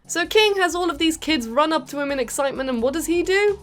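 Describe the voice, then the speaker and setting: A woman's voice: sits high, expressive, fast talker, fairly bright tone. A woman with a British accent giving a playful, sarcastic video game review, speaking directly to viewers as host. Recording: background music under voice. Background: music.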